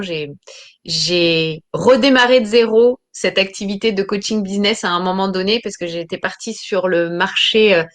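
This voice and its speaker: A woman in her thirties, a business coach talking about her career in an interview, pausing often with fillers and restarting phrases. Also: on the low side. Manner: hesitant, many fillers and restarts. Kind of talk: interview answers.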